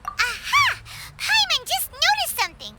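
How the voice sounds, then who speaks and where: A woman voicing a small, excitable, childlike fairy companion in an anime game, squeaky and chatty, referring to herself in the third person. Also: unhurried, heavy background noise, exaggerated voice. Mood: curious, teasing. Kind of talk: anime game cutscene voice acting.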